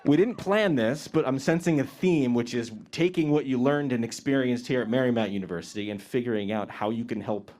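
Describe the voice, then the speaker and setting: A man hosting a live-stream pre-ceremony show outdoors, interviewing graduates with energetic, joking questions he often repeats. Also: mid-range voice, fairly quick, hardly any pauses.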